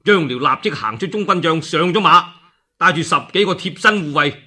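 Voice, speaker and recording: A male Cantonese storyteller performs a historical saga for radio. His voice is bright and high for a man, with a husky edge. He narrates in a colloquial, dramatic way. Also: moderate pace. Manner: animated, colloquial, acts out dialogue.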